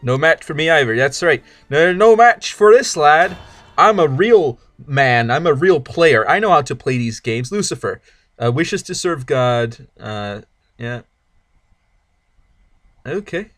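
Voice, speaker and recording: A man's voice: a little high, expressive, a little slow, fairly bright tone, no room sound, soft background music. A man streaming a card game with casual, rambling commentary, joking around and stumbling over his words as he restarts sentences. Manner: rambling, jokey, stammers and restarts.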